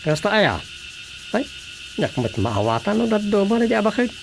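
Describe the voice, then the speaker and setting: A man's voice: sits high, big pitch swings, moderate pace. Sly, nosy male comic role, Ilocano accent, questioning and teasing, radio drama, slightly noisy recording. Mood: curious. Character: nosy, teasing man needling a village councilor.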